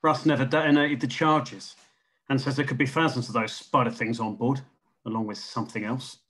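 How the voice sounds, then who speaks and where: Man playing a husky-voiced colony security chief. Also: medium pitch, little pitch movement, a little fast, a bit bright. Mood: grave, reporting. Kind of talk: screenplay table read.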